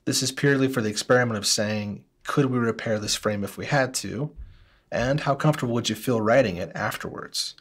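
A middle-aged man talks through a bike frame repair in a calm, measured voice. His pitch sits at an ordinary middle level, and he explains each step carefully, like a host guiding viewers around his workshop.

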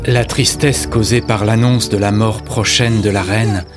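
A man's voice: lowish voice, fairly even intonation, measured pace. A man narrating a tale in a scripted storybook style. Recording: loud music under the narration.

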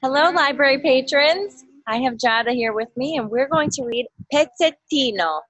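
A woman's voice: high voice, expressive, moderate pace, fairly bright tone, dry recording, highs cut off. A woman, around her thirties, reads a children's storybook aloud expressively, explaining things and asking simple questions for a child. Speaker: parent reading a picture book aloud. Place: outdoors in a backyard. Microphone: phone or laptop at arm's length.

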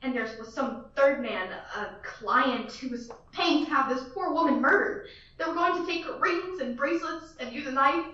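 A fretful, demanding rich woman, played by a young woman in a school radio drama, nagging the phone exchange in a high, indignant voice. She sounds roomy and distant, caught from across a room with a dull, muffled tone. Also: moderate pace, pushed and bright. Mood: agitated. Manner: indignant, fussy and insistent. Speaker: student actor. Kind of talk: school radio play acting.

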